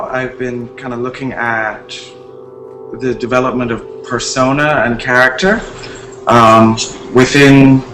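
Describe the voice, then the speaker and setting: Man from Barbados, artist in interview, measured and reflective, mid voice, echoey room over music. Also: medium pitch. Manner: thoughtful, measured, with frequent pauses and restarts. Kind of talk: interview talk about his art practice.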